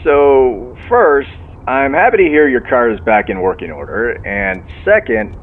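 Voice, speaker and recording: A man's voice: medium pitch, animated, unhurried. A man playing a genial sheriff's officer heard over the phone, folksy and courteous as he methodically probes, in audio drama dialogue. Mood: friendly, probing. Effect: phone line filter. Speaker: law enforcement officer. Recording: tinny phone line, car noise.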